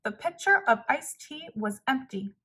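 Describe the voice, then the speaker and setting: A woman narrating a children's storybook aloud in a measured, clearly phrased way. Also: high voice, expressive, bright, forceful tone. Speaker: storybook reader.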